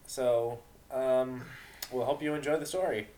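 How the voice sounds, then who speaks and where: A man with an average-pitched voice hosts in a loose, chatty way. He rambles as he explains things and readily makes fun of himself. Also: steady tone, unhurried, dark tone, roomy sound, a little hiss or hum. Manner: rambling, self-deprecating. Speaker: host and reader of a dramatic reading. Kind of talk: casual chat.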